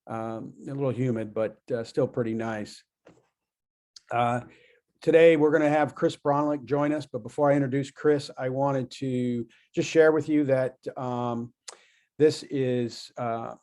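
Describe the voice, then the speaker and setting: A man hosts an online coalition meeting in a mellow, relaxed voice. He introduces the guest casually and haltingly, with frequent ums and uhs, in a middle pitch. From Virginia.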